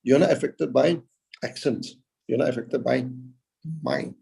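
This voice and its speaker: A man teaching Buddhism over a video call, in a halting way, filling gaps with "okay" and "uh" and answering his own questions. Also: mid-range voice, gravelly, mellow, slightly rough. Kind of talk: dharma talk.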